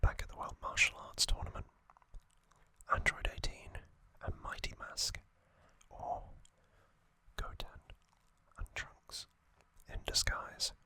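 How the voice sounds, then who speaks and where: An ASMR host whispering a relaxed trivia recap, soft and unhurried, with hesitant fillers and small self-corrections. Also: no room sound. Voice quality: fully whispered, breathy.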